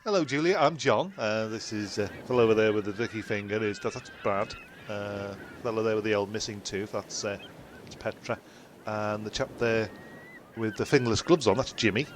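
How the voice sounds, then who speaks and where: A man playing a chatty, sweary castaway who talks haltingly and repeats himself in an amateur comedy drama. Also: lowish voice, a little hiss or hum, close to an everyday voice. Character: sweary, chatty castaway bloke named John.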